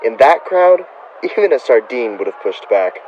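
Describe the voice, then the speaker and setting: A man's voice: medium pitch, sing-song, moderate pace, muffled recording, slight background noise, natural voice. An actor playing a wisecracking, whiny, pestering teenage boy sidekick in old-time radio comedy style. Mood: complaining, wry.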